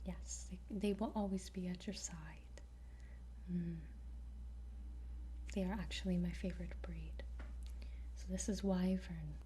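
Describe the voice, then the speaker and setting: A woman whispering an ASMR roleplay as a dragon trainer, gentle and hesitant with frequent pauses. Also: fairly low pitch, steady tone, slight background noise. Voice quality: breathy whisper.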